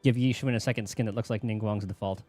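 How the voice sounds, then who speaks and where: A man's voice: lowish voice, steady tone, quick pace, mellow, soft background music. A man giving casual, rambling gameplay commentary as a streamer, in an offhand way. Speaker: game streamer.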